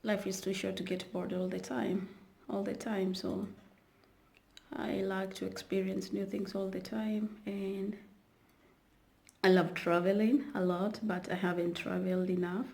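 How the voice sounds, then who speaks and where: A woman's voice: mid-range voice, little pitch movement, measured pace, vocal fry, a little mellow. A woman from Kenya chatting casually to her viewers in a rambling vlog, with many fillers and restarts. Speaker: YouTuber. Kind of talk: casual vlog talk to camera.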